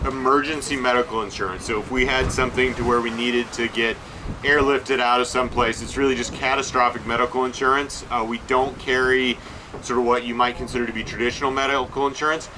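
A man talking through a list of costs aboard a sailboat, calm and practical, stopping often on "uh" and "um". His pitch sits in an ordinary middle range. Wind and boat noise run clearly behind him. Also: a little fast. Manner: matter-of-fact, listing figures with many uh fillers. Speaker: sailing vlog co-host. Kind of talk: casual expense breakdown.

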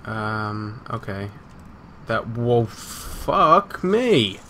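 Low-voiced sweary male gamer. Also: sing-song, very slow, sharp tone, a little hiss or hum. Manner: muttering to himself, sweary, repetitive. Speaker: gamer recording a let's play. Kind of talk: casual gameplay commentary.

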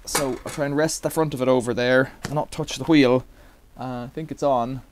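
A man's voice: mid-range voice, steady tone, normal speed, a little hiss or hum. A middle-aged man casually demonstrating a bike repair in a cluttered workshop, rambling with wry asides.

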